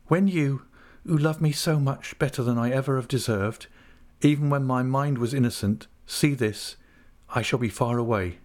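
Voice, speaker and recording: A man's voice: mid-range voice, moderate pace, a little mellow, pitched above the performer's own voice, natural voice. A man reading out a remorseful young woman's farewell letter in a storybook, with a sorrowful, pleading, lingering delivery.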